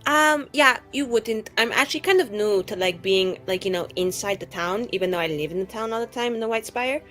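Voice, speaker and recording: A woman's voice: mid-range voice, a little fast, a bit bright, faint music behind. A woman playing a tabletop roleplaying game, chatting casually and playfully, slipping into improvised dialogue.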